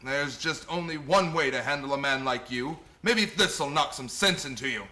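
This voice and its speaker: An actor playing a kindly but feisty old Santa Claus in a radio play, earnest and old-fashioned. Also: male voice, medium pitch, normal speed, background noise, natural voice. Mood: indignant. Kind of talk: stage-style radio play acting.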